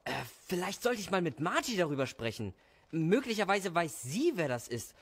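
A man's voice: high-pitched, big pitch swings, moderate pace, a bit bright, breathy edge, exaggerated voice. A man playing an easygoing village father, casual and slightly defensive, in an audio drama.

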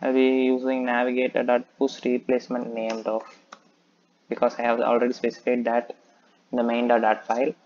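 A man's voice: medium pitch, completely flat delivery, a little fast, darkish tone, muffled recording, a little hiss or hum. A man talking through a coding tutorial, explaining step by step and thinking aloud with frequent "okay". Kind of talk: screen-recorded coding tutorial.

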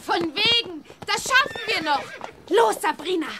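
A spirited young witch girl in a children's radio play. The voice is very high and swoops eagerly, confident and girlish. Noisy effects with animal sounds sit behind it. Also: expressive, a little slow, full character voice. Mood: excited, competitive. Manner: bright, eager, chanting spells. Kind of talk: children's audio drama acting.

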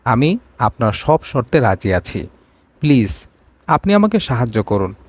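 A man's voice: medium pitch, very animated, a little slow, no room sound. Man reading as an anxious, polite young woman. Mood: pleading. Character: anxious, dutiful young woman devoted to her brother.

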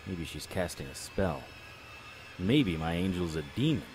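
A man playing a wry, world-weary detective narrating a noir audio drama in clipped, deadpan phrases. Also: low-pitched, measured pace, noisy background. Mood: groggy, musing.

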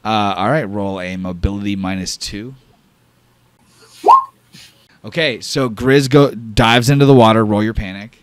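A man's voice: on the low side, moderate pace, clean tone, no room sound. A man narrating an improvised tabletop sci-fi horror game as game master, in a casual, profane, dramatic way.

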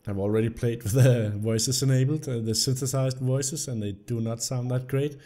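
A young man, slightly hoarse from illness, commenting on a game and reading its story text aloud. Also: medium pitch, normal speed. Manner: reads the story text aloud in an even narrating tone. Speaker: gameplay video host. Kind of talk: casual gameplay commentary.

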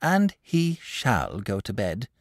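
Man voicing a jolly, lazy storybook dragon, lively drawl. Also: medium pitch, unhurried, vocal fry, no room sound, clean studio sound, voice pushed up, full character voice. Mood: blustering, indignant. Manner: drawling, murmuring, blustery. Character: lazy, jovial, self-satisfied sleepy male dragon. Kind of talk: storybook character voice.

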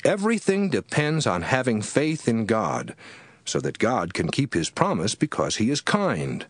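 A man reading scripture as a scripted audiobook, with an emphatic, measured and rhetorical delivery. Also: medium pitch, wildly swooping pitch, husky, no echo. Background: music under the voice.

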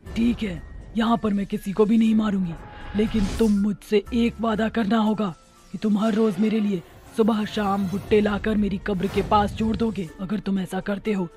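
A woman voicing a vengeful ghost witch in a horror cartoon, threatening and aggrieved, with a slow delivery. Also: mid-range voice, fairly even intonation, mellow, faint music behind, lightly acted. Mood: stern. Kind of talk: Hindi horror cartoon dialogue.

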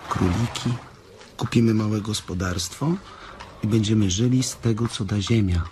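A man playing a gentle, slow-witted, childlike farm hand in a Polish radio drama, with a naive, simple manner. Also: fairly low pitch, background noise, lightly acted. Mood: innocent, eager.

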